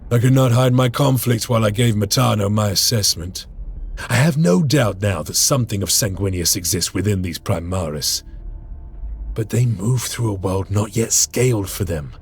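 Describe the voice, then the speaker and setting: An actor playing a grim veteran space marine sergeant who narrates, solemn and measured with old-fashioned phrasing. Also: male voice, fairly low pitch, slightly rough, full character voice. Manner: solemn, measured, archaic speech. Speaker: narrator and squad leader. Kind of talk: game cutscene voice acting. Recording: with score music behind.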